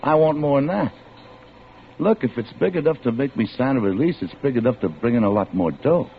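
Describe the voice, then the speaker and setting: A man's voice: medium pitch, lively intonation, fairly quick, slight background noise, lightly acted. An actor playing a desperate, hot-tempered working-class man with slangy tough talk in a vintage radio thriller. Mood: angry, menacing. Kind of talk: 1940s radio thriller acting. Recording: muffled old radio recording, dramatic music.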